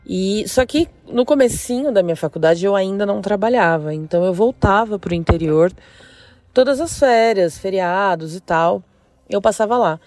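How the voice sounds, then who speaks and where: A woman's voice: medium pitch, expressive, moderate pace, a little mellow, no echo. A woman in her thirties with a São Paulo state Brazilian accent, chattily telling a personal story as a listener calling in to a show. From interior of São Paulo state, Brazil. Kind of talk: casual spoken storytelling.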